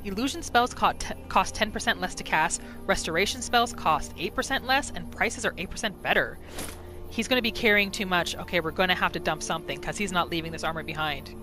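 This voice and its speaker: A woman's voice: on the low side, brisk, music in the background. A woman hosting a casual game roleplay playthrough, narrating her character's thoughts in the third person with little asides. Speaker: gaming channel host.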